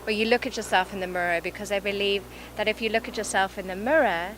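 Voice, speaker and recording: A woman with a South African accent touched by English, a life coach speaking earnestly and softly in an interview, often repeating phrases. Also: mid-range voice, fairly even intonation, fast, noisy background. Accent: South African with some English. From born in Zimbabwe, raised in South Africa. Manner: earnest, soft-spoken, repeats phrases for emphasis. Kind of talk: reflective interview talk. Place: large event venue.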